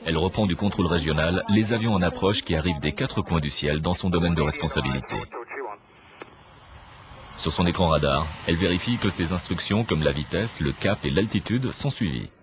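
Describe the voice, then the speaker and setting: A man reading a scripted documentary narration in a measured, didactic manner. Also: deep voice, muffled recording, noisy background. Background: clear background music.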